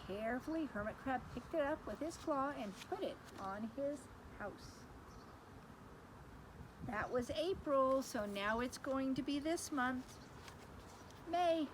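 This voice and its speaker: Woman, children's read-aloud, teacherly, expressive, highly varied intonation, loud background noise. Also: takes it slowly, long pauses. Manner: expressive, explanatory, questioning asides. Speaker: teacher reading a picture book to children.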